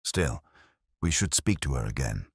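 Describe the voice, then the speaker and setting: A man reading as a soft-spoken, dry police inspector. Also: extremely low pitch, very animated, slightly rough, dry recording, voice pushed down, full character voice. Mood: measured. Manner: soft, measured, dryly understated. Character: calm, soft-spoken middle-aged male police inspector.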